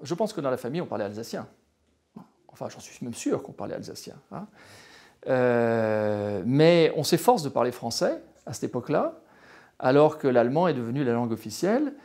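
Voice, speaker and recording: A man's voice: mid-range voice, very animated, moderate pace, a little mellow. Hesitant French man in his sixties. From France. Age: 60s. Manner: hesitant, many fillers and restarts. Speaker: retired history professor. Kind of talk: interview, personal account.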